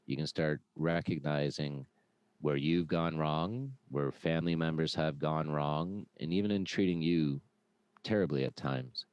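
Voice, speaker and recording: Podcast guest: man with a very deep, smooth, loud voice, earnest storyteller, dry close sound. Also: no echo. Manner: earnest, with frequent "uh" and "um". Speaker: former police officer. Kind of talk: conversational personal storytelling.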